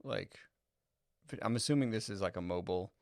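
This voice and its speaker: Conversational male podcaster with a creaky voice and a dry, close sound. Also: on the low side, brisk, no room sound. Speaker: podcast co-host. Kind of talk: casual podcast chat.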